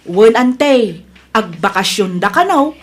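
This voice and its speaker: An actress playing an agreeable young niece in an Ilocano radio comedy-drama, conversational and respectful, with a Filipino accent. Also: female voice, medium pitch, lively intonation, slow pace.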